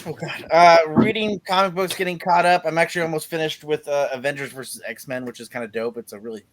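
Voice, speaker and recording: A man's voice: a little high, fast talker, slight background noise, poor audio. A man co-hosting a podcast, chatting casually and swearing freely. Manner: swears casually, relaxed rambling. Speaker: podcast co-host. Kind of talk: casual chat.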